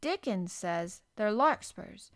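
A woman's voice: mid-range voice, lively intonation, slow pace, no room sound. Lady doing a bold eager little girl in a storybook, speaks right up, dry sound. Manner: sudden, eager exclamations. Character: plain, bold young girl, eager and outspoken.

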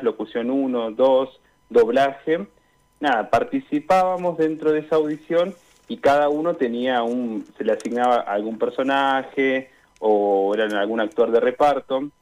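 The audio is a slightly muffled, lo-fi remote call. A young man with an Argentine accent answers as an interview guest in a casual, conversational style, with a mid-pitched voice. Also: moderate pace, a little mellow. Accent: Argentine Spanish. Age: young adult. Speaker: student guest, radio drama performer.

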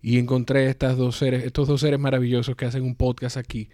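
A man with a mellow voice and a Dominican accent that keeps its S sounds clear hosts a podcast. He is informal and talkative, and the recording is close and dry. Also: mid-range voice, level tone, fairly quick, dry recording. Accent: Dominican Spanish with carefully pronounced S. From Dominican Republic. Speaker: podcast host. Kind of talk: conversational podcast interviewing.

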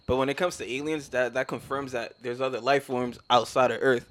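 A man's voice: mid-range voice, steady tone, a little fast, a bit bright. A young man from New York talking earnestly in a laid-back, casual chat.

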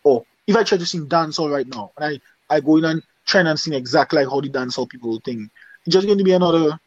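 A man's voice: on the high side, quick pace, a little mellow, husky, no room sound, lo-fi sound. A man from Dominica with a Dominican accent, a singer talking casually in an interview and often checking "you understand?". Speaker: singer guest.

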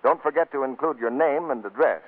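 A man's voice: medium pitch, brisk. A man working as a 1940s radio announcer, reading a scripted sponsor commercial on a muffled vintage broadcast.

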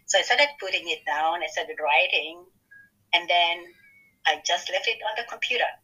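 A woman's voice: lowish voice, moderate pace, bright, forceful tone, breathy edge, rough recording. A woman from Kenya, a podcast co-host, talking earnestly and reflectively about her faith and work. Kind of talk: faith-based podcast conversation.

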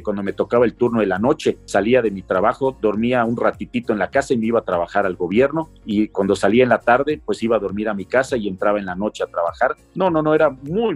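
A man's voice: on the low side, darkish tone, breathy edge. A middle-aged Mexican man with a Mexican accent, earnestly recounting his life story in an interview.

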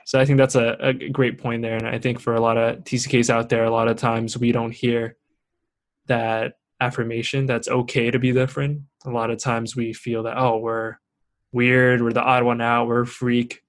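A man who grew up in Singapore and Shanghai, hosting a relaxed conversation. He talks earnestly and warmly encourages his listeners, picking up speed when he gets animated. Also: mid-range voice, moderate pace. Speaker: podcast host. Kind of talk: casual interview chat.

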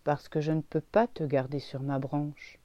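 A woman voicing an old male oak tree who is gentle and gruff, talking slowly and sadly. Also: on the low side, lively intonation, dry recording, muffled recording. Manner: slow, sad and grumbling.

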